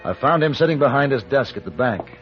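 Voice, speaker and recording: An American actor playing a suave, self-assured hired gunfighter in an old-time radio Western, dry, urbane and terse. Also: male voice, medium pitch, lively intonation, fast, over music, natural voice. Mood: grim narrating. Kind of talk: old-time radio drama acting and first-person narration. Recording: dull, band-limited old radio recording.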